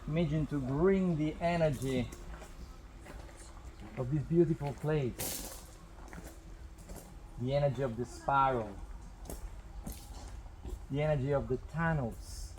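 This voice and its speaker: A man guides a meditation in the open air. His voice sits a little high and he speaks very slowly, leaving space between short phrases. There is faint noise behind him. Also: a little mellow, poor audio. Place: outdoors.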